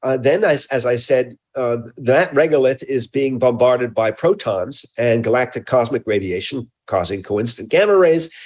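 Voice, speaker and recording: A man being interviewed as a scientist, explaining his research emphatically and haltingly, often repeating words. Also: medium pitch, darkish tone.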